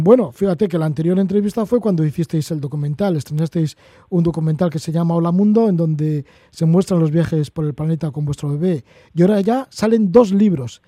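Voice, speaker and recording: A man hosting a radio interview, with a high voice but a very dark, mellow tone. The sound is dry and close, like a studio mic. He asks friendly, leading questions. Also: a little fast, dry recording.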